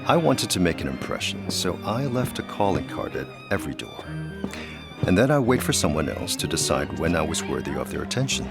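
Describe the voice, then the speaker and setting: An actor playing a suave, calculating aristocratic gentleman in a gothic audio drama, speaking in courtly, formal phrases. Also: low voice, very animated, fairly quick, vocal fry, loud music behind the voice, full character voice. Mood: wry, reminiscing.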